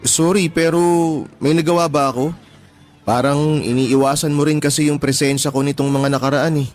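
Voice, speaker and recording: A man's voice: fairly high pitch, fairly even intonation, moderate pace, close to an everyday voice. An actor playing a persistent young man courting a tomboyish woman in a radio drama, teasing her with chuckles. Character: persistent young male suitor, teasing but sincere.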